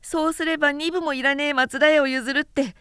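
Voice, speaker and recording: A woman's voice: high voice, fairly even intonation, a little fast, fairly bright tone, no echo, clean studio sound. A woman voicing a devoted, spirited young woman of old Edo in an audiobook, with girlish feminine speech. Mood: teasing. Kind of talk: audiobook character reading.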